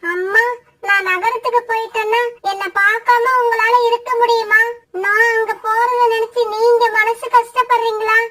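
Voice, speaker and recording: Squeaky young boy sparrow. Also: little pitch movement, normal speed, sharp tone. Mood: worried, tender. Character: affectionate young son sparrow, worried for mother. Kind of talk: animated cartoon fairytale dialogue.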